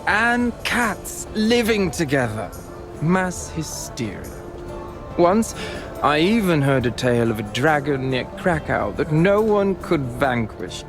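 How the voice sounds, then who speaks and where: A chatty, cynical tavern wine seller, his voice on the high side for a man. He talks in a wry, folksy storytelling way, as in a medieval video game. Music is clearly heard behind him. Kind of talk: video game cutscene acting.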